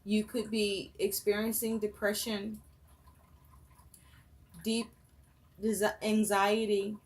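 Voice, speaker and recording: Slow, even-toned woman reading tarot. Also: medium pitch. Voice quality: voice rough and breaking, coughing. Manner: slow, measured, listing one phrase after another. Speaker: tarot reader.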